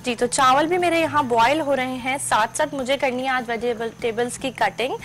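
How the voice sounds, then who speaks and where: High-voiced woman hosting a cooking show. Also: moderate pace, fairly bright tone, soft background music. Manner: chatty, instructive, mixes in English terms. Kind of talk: step-by-step cooking demonstration. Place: TV studio kitchen set.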